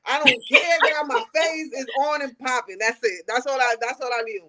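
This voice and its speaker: A woman in her late thirties with a high voice and a New York accent. She talks candidly as a podcast guest, stressing her points with emphatic repetition. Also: moderate pace, sharp tone, slight background noise. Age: late 30s. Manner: emphatic, repeats phrases for stress. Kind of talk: candid interview talk.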